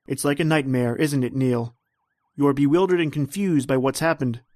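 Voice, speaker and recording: A man's voice: mid-range voice, normal speed, darkish tone, no echo, clean studio sound, natural voice. Man as ominous, insinuating suspense narrator. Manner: insinuating, knowing. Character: ominous, taunting male narrator addressing the hero. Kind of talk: scripted suspense radio narration.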